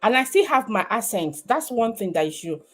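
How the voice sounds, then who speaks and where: A woman's voice: fairly high pitch, big pitch swings, a little fast, a bit bright, faint music behind. A woman in her thirties from Nigeria with a Nigerian accent, chatting casually on a livestream and rambling with many fillers and restarts. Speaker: livestream host.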